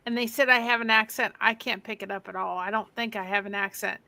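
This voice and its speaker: A woman's voice: mid-range voice, steady tone, quick pace, vocal fry, sharp tone, no room sound. A woman from Florida with an American accent, chatting casually. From Florida, United States. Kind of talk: casual chat.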